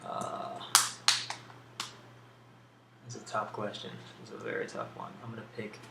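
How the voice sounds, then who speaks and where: A soft-spoken man answering questions in a casual ASMR style, with a hesitant, musing delivery and pauses between thoughts. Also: lowish voice, big pitch swings, creaky. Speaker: ASMR video creator answering a question tag. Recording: roomy echo with faint background hiss.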